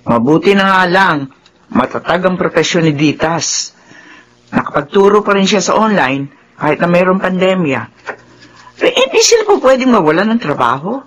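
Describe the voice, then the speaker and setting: A woman's voice: fairly low pitch, big pitch swings, moderate pace. An actress playing a chatty older mother-in-law eager for a grandchild in a radio drama, with hesitant fillers. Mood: chatty, hopeful.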